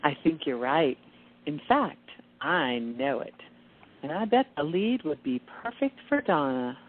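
A woman playing a serene, knowing angel guide in a radio play, speaking with confident, measured emphasis. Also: deep voice, expressive. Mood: assured. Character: serene, knowing female angel guide in kittenish human guise. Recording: tinny, band-limited phone-like sound.

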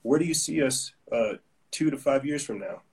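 A man's voice: mid-range voice, animated, moderate pace, far from the mic. A man hosting a casual interview, talking in a rambling, upbeat way and often restarting his sentences. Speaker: host.

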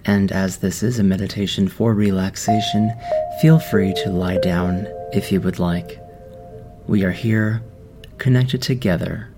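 A man guiding a meditation in a slow, soft, soothing voice with long pauses. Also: on the low side. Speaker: meditation guide. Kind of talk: guided meditation. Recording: music underneath.

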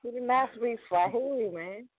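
A woman's voice: a little high, moderate pace. A woman co-hosting a morning radio show with giggly, playful comebacks. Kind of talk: casual radio banter. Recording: tinny phone-like sound.

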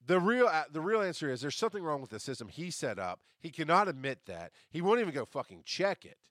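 A man hosting a podcast, telling a story in casual, profane, animated fashion and acting out what people said, with a deep, bass-heavy voice. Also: fast, dry recording, clean studio sound. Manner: animated, profane, acts out quoted lines. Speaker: podcast host.

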